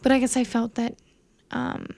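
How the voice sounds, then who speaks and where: Young woman, high creaky voice. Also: flat delivery, normal speed, a little mellow. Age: early 20s. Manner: earnest, self-conscious, conversational. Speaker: vlogger, young filmmaker. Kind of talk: candid casual monologue to camera.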